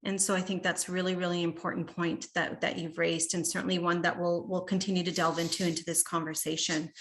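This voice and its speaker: A woman's voice: lowish voice, level tone, fairly quick, fairly bright tone, slight background noise. A woman moderating a panel over a video call, speaking hesitantly with many "um"s and restarts, thanking each speaker.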